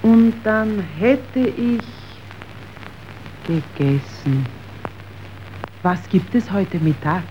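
An actress playing a hungry, stubborn poor worker's wife in a radio drama, with drawn-out, deliberate phrasing. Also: female voice, mid-range voice, lively intonation. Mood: longing, insistent. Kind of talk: scripted radio drama acting. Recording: dull, faintly hissy old radio recording.

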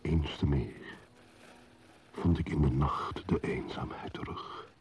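An actor playing a solitary, brooding male wanderer who narrates in a solemn, measured, poetic radio play style. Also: extremely low pitch, steady tone, a little mellow, whispered.

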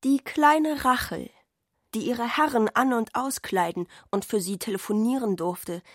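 A woman narrates literary prose for radio drama. Her voice is fairly high and recorded without any room sound, and she lingers deliberately on each phrase. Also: dry recording, studio quality.

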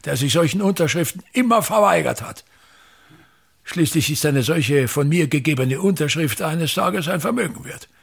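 An actor playing a vain, embittered older theatre actor and playwright in a radio play, domineering and declamatory, with an Austrian accent. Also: male voice, fairly high pitch, creaky, slightly rough, heavily acted. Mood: grandiose. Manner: theatrical, declamatory, haranguing, repeats words.

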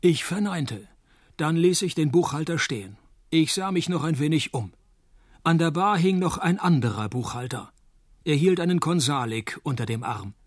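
Private detective, male, higher-set voice, dry studio sound, deadpan, wry, hard-boiled radio play. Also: moderate pace, no room sound. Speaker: narrator and lead of a radio play. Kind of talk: deadpan noir radio play narration and dialogue.

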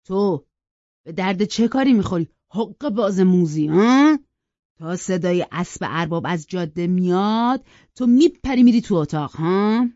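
A narrator voicing a spiteful old manservant, a gossiping tattletale with a biting, sarcastic, drawn-out jeer. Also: medium pitch, expressive, warm tone, no room sound, highs cut off, pitched above the performer's own voice, close to an everyday voice. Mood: scolding, jeering.

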